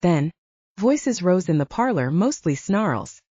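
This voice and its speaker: A woman narrates scripted fiction in a lowish, mellow voice. Her pitch moves around expressively as she reads. The sound is close and dry, slightly dull. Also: sing-song, slow pace, husky, dry recording. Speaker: audiobook narrator.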